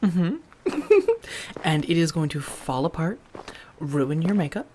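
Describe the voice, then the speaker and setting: A woman playing an affectionate, playful female vampire roommate in a soft-spoken, gently teasing ASMR roleplay. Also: low-pitched, sing-song, slow, a little hiss or hum, heavily acted. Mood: playful, warm. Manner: gentle, chatty, teasing, with soft murmurs and breathy asides.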